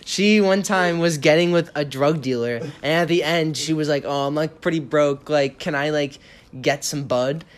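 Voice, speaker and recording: A woman's voice: sits low, moderate pace. A young woman from Boston hosting a casual podcast chat, laid-back and laughing often. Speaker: podcast host.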